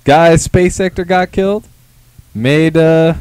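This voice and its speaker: A young man co-hosting a casual comic review, making wry remarks with quick acted-out asides, speaking into a handheld mic in a small studio. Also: fairly high pitch, a little mellow, clean tone, no room sound. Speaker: co-host. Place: indoor studio before a grey backdrop.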